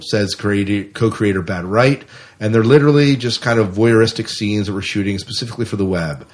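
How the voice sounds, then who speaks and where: An American man co-hosting a podcast, chatting casually through science fiction news. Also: fairly low pitch. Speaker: podcast co-host. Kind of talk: casual sci-fi news chat.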